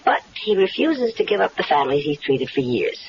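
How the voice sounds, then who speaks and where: A scheming wife in an old radio mystery plays it sweet while she plans a murder. Her voice swoops with lively melody, and the broadcast sounds dull, with a faint hiss underneath. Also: low-pitched, brisk. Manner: coaxing, confident, sweetly calculating. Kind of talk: 1970s radio drama acting.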